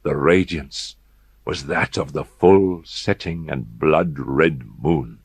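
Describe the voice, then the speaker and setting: A man narrating a gothic horror audiobook in a grave, measured, dramatic manner with deliberate pauses for emphasis. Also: a bass voice, breathy edge, no room sound.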